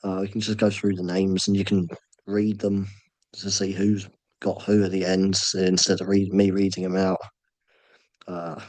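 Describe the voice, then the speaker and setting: Hoarse low-voiced man hosting a draw. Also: monotone, darkish tone, no room sound, low-quality recording. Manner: halting, many ums and uhs, low-key. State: sore throat, voice nearly gone. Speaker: host running a fantasy draw. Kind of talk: casual screen-share commentary.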